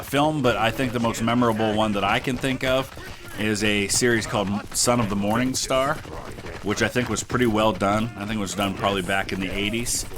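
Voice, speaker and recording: A man with a low voice and a stuffy, congested nose from a sinus infection. He talks in a relaxed, rambling way, full of ums and uhs, as he narrates history over a game. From Ohio, United States. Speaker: gaming channel host. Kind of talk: casual history commentary over gameplay.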